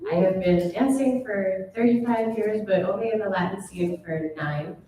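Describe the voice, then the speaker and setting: A woman's voice: mid-range voice, moderate pace, dark tone, slight background noise. A woman dancer from Brooklyn, New York, introducing herself on a panel in a candid, wry way. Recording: echoey room from far off.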